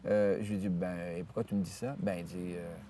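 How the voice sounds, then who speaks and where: A man, a priest telling stories from his life in an interview, rambling with many hesitations and restarts and lively retelling of what others said. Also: deep voice, brisk, far from the mic, slight background noise. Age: 70s. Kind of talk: storytelling interview. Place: outdoors in nature.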